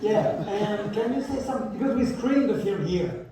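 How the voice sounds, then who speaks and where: A man's voice: high voice, lively intonation, moderate pace, crowd noise. A man hosting a Q&A on stage, switching between two languages and repeating himself. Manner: questioning, mixing two languages, repetitive. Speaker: event host. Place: large cinema hall. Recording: echoey hall, far from mic.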